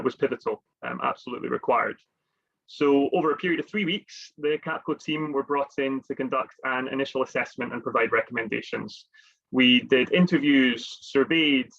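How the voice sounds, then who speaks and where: A man's voice: mid-range voice, a bit bright, muffled recording. A man hosting a business webinar, speaking conversationally with many fillers and restarts. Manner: conversational, with frequent fillers and repeated words. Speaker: webinar host, consultant.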